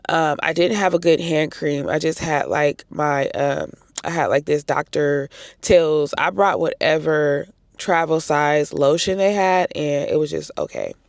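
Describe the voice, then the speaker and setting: An American woman talks to her viewers like a travel vlogger, casual and emphatic. Her voice is raspy and congested-hoarse, with a gravelly creak running through it. The recording is dry, with little room sound. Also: low-pitched, lively intonation, measured pace, dry recording. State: hoarse from recent congestion and cough. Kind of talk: casual chatty trip recap to viewers.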